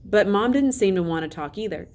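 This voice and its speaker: A woman's voice: mid-range voice, expressive, fairly quick, darkish tone. A woman teacher reading a children's novel aloud as a narrator. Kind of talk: storybook read-aloud narration.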